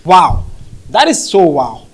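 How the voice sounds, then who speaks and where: A man's voice: on the high side, very animated, slow pace, breathy edge. Man reacting to online comments. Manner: conversational, frequent fillers and "okay?" check-ins. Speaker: commentary vlogger. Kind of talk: reading and reacting to social media comments.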